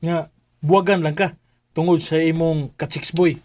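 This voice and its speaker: A man's voice: fairly high pitch, fairly even intonation, normal speed, darkish tone, dry recording, studio quality, lightly acted. An actor playing a cheerful, pushy young male buddy with a Filipino Bisaya accent, bantering in a radio drama. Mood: teasing. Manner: bantering, questioning tags.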